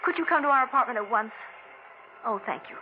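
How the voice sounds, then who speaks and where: An actress playing an anxious, fussing wife in a 1940s radio drama. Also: female voice, animated, fast, lightly acted. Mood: worried. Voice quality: high, fluttery, worried. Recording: thin, tinny old radio broadcast.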